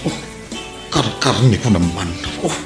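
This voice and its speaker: A man's voice: lowish voice, expressive, unhurried. A man playing a cocky, stingy jeepney driver in an Ilocano comedy audio drama, exclaiming in an exaggerated way with an Ilocano accent. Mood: cheerful. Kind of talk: comic audio drama acting. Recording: roomy echo, music behind.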